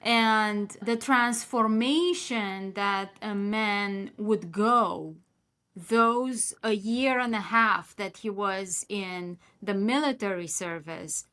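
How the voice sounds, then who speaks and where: A woman's voice: medium pitch, sharp tone, clean tone. A woman, hosting a podcast and musing aloud in long, reflective sentences. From Romania. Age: 50s. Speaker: podcast host. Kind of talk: casual interview chat.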